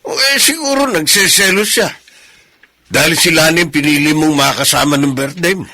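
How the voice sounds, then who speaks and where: Casting: earnest family man, radio drama, husky and gravelly, grave deliberate delivery. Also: a little high, bright, forceful tone, no echo, clean studio sound. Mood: grave, concerned. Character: earnest, concerned older-generation family man bearing bad news. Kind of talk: Filipino radio melodrama acting.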